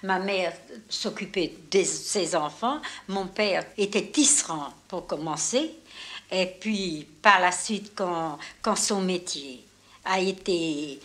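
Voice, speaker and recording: Slow-speaking woman, native Breton speaker. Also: lowish voice, a bit bright. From Brittany. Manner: brief, plain answers. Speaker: interviewee.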